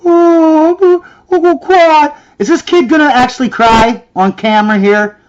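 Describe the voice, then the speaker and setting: A man's voice: very high-pitched, moderate pace, muffled recording. A man hosting a reaction video, ranting in a sarcastic, scornful way and mocking the clip he watches. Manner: sarcastic, scornful, mimics in a whiny voice.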